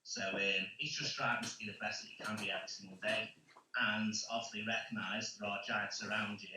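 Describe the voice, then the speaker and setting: Sharp, lowish male voice in heavy echo. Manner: colloquial, tags with "you know what I mean". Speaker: team call participant. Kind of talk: impromptu motivational chat. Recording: very echoey, noisy distant call audio.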